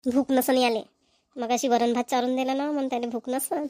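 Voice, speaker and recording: A woman performs a village woman from a Marathi cartoon comedy with a notably high voice. She speaks in a rural Vidarbha accent and a colloquial, homely way. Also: steady tone, a little fast, warm tone, no echo, natural voice. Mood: motherly. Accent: Maharashtrian, rural Vidarbha Marathi. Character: young village women, mothers and nagging wives in a comedy.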